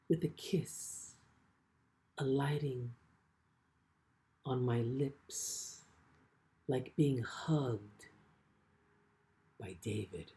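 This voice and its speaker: A man with a mid-pitched voice reads in a literary style, as a poet presenting his own work. His delivery is very slow and measured, with phrases set apart by frequent pauses. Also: warm tone. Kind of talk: literary poetry reading with brief spoken introductions.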